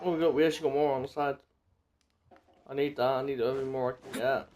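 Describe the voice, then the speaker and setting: A man's voice: mid-range voice, steady tone, quick pace, darkish tone, slight background noise. A man giving casual, rambling, informal commentary as he streams a game. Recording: faint game music behind.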